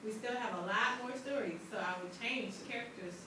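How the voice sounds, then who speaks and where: A woman's voice: on the high side, brisk, distant mic. A woman teacher giving classroom instruction, asking lots of questions and checking that her class understands. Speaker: English teacher of a grade 7 class. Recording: echoey classroom from afar, faint noise.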